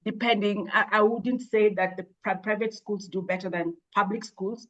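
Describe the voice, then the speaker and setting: A woman, an education expert, answering interview questions in a measured, thoughtful way with frequent hesitations and restarts. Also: mid-range voice, steady tone, muffled recording. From Kenya. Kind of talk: explaining in an interview.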